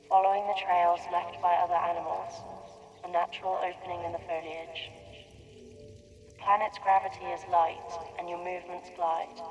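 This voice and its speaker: A woman reads a scripted guided meditation in a measured, descriptive manner, addressing the listener directly as a narrator. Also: lowish voice, lo-fi sound. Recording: long reverberant hall-like echo.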